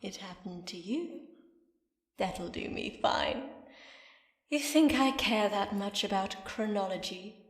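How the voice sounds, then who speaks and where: A woman's voice: medium pitch, slow. An actress playing a gloating female mastermind with a British accent, taunting and mock-polite in an audio drama. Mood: smug. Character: gloating female mastermind villain, mocking and unhurried. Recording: echoey hall with long reverb.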